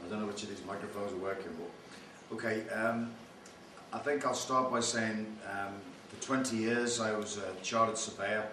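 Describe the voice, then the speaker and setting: A man's voice: on the low side, fairly even intonation, moderate pace, bright, forceful tone. A man from Liverpool giving a talk as guest speaker, plain with ums between phrases. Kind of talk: talk at a meeting. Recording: echoey hall, distant, noisy background.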